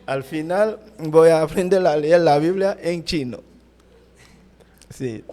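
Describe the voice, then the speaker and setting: A middle-aged Haitian man, a pastor whose first language is Haitian Creole, speaking Spanish haltingly with a Haitian accent in an interview. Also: on the high side, dark tone. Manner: halting, searching for words in Spanish. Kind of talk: interview answers.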